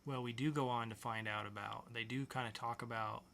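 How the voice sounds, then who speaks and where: A man with a bright, forward voice at a middle pitch. He co-hosts a podcast, presenting and reviewing in a casual, conversational style. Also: fairly even intonation, a little fast, vocal fry. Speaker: podcast co-host. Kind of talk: casual comic book review chat with segment announcing.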